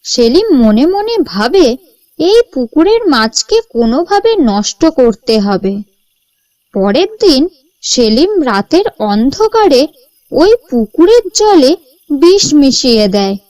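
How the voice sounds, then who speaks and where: Slow, high-pitched woman storyteller. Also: big pitch swings, a little mellow, no echo. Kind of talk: scripted story narration for a cartoon.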